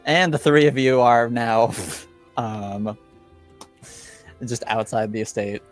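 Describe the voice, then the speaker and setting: A man's voice: medium pitch, slow pace, soft background music. A man acting as game master, narrating and joking casually as he searches for words. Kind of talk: improvised tabletop narration and casual banter.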